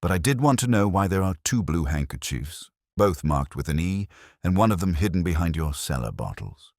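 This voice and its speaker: Very deep, unhurried inspector, as a male narrator puts him on, wry and clipped, dry close sound. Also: very animated, a little mellow, no room sound, heavily acted.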